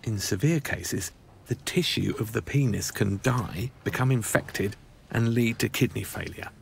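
A man's voice: on the low side, a little slow, gravelly, slight background noise. A man narrating a documentary in a scripted voice-over, with dry wry asides.

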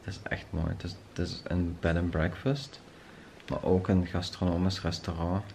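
A young man with a Flemish accent, chatting in a relaxed, teasing way from bed late at night. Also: low voice, moderate pace, vocal fry, a little hiss or hum. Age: young adult. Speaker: vlogger. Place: hotel bedroom, lying in bed. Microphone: handheld camera at arm's length.

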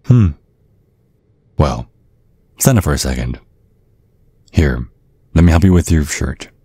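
A man plays a centuries-old vampire father with an extremely low voice, recorded close and dry. He is courtly and measured, with polite menace under every word, in an ASMR audio roleplay. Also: animated, dark tone, husky, no room sound, full character voice. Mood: quietly threatening. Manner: measured, politely threatening, formal.

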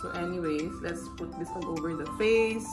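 A woman's voice: fairly low pitch, normal speed, over loud music. A woman, chatting casually and rambling a little while doing her makeup for a vlog at home. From Philippines. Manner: rambling, friendly, self-correcting asides. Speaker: beauty vlogger. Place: bedroom at home, indoors. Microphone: camera in front at close range.

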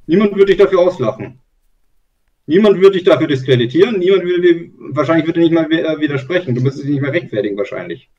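A man's voice: on the high side, animated, fast talker. A man in a casual online group chat who asks probing questions and uses lots of filler words. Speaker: discussion participant. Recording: distant, muffled call audio.

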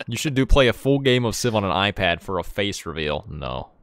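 A man hosting a casual gaming stream. His pitch moves around a lot as he jokes with friends. He sounds playful and conversational, ready to laugh. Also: fairly quick. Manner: animated, jokey, given to bursts of laughter. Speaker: gaming streamer reading out donations. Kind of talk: casual chat while playing a strategy game with friends.

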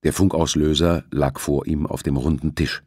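Husky, deep-voiced man narrating. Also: steady tone, normal speed, darkish tone, no echo, clean studio sound. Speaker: audiobook narrator. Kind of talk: scripted novel narration.

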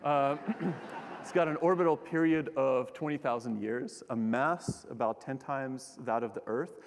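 A young man gives a relaxed, joking public science talk and often stops to restart his sentences. His voice is middle-pitched. He is on a lecture hall stage, and the sound carries a long hall reverb. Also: a little slow. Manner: casual and joking, with frequent fillers and restarts. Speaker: keynote speaker, astronomer.

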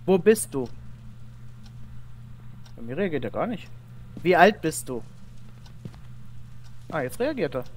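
A man's voice: fairly high pitch, big pitch swings, takes it slowly, pauses often. Man in casual gaming chat. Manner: calls out questions to a ghost, teasing. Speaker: gamer.

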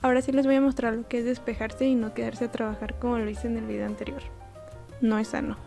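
Relaxed, gravelly-voiced young woman. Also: fairly high pitch, quick pace, soft background music. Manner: relaxed, chatty, self-deprecating. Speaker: artist narrating a painting process. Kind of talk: casual voice-over narration.

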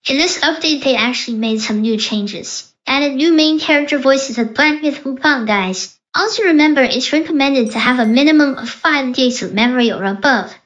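A man's voice: very high-pitched, moderate pace, hardly any pauses, fairly bright tone, pitched above the performer's own voice. A man speaking through an AI voice changer as a young female-sounding demonstrator. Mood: explanatory. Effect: real-time AI voice changer. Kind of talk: software demonstration. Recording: dull lo-fi processed sound.

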